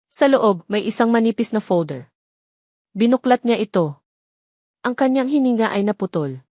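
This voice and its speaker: A woman narrating a scripted romantic drama as an audio storyteller, with deliberate, emotive delivery. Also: medium pitch, a little mellow, no echo, clean studio sound.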